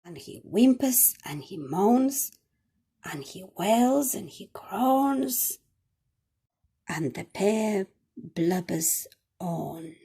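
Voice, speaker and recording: A woman reading a picture book aloud to children with dramatic, deliberate phrasing. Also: medium pitch, lively intonation, creaky, mellow, slightly rough. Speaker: storytime reader.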